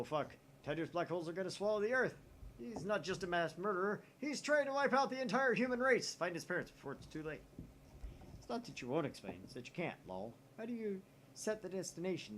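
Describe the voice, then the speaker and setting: A man hosts a let's play, reading a visual novel aloud. He jumps between voices for each chat poster and swears casually. His voice sits at a middle pitch. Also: a little hiss or hum, poor audio.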